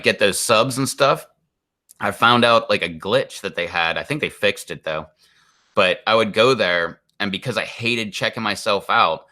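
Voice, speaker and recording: A man's voice: on the low side, sharp tone. A man, chatting casually to stream viewers in a rambling, exasperated way full of "like". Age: 40s. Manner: rambling and exasperated, heavy use of "like". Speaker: live stream host.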